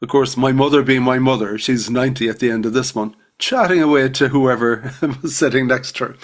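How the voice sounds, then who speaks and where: A middle-aged man hosting a podcast at an ordinary pitch. He is informal and jokey, spins small anecdotes and asks questions in a loose, conversational way. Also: brisk. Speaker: podcast host.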